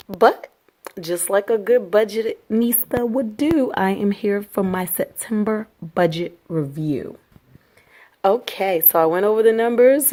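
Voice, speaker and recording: A woman with a hoarse voice from a cold, chatting upbeat to her viewers in a casual budget vlog from a small rented apartment. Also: mid-range voice, mellow. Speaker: vlogger.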